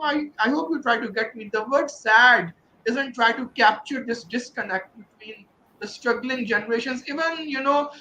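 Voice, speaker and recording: A man's voice: very high voice, pushed and bright, reverberant, slight background noise, low-quality recording. A man discussing his translation of a novel, rambling with constant "you know" fillers. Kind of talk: virtual panel discussion.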